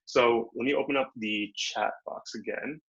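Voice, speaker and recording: A man's voice: medium pitch, fairly even intonation, moderate pace, fairly bright tone. A young man from Bangladesh giving a casual, upbeat online workshop talk over a video call, full of slang and fillers. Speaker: workshop presenter. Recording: dull lo-fi video call audio.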